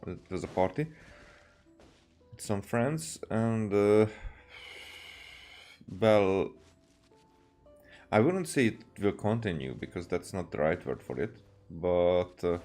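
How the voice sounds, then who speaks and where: Guy streaming a game, lowish voice, kinda halting casual chat, game music going. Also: darkish tone. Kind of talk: casual gameplay commentary.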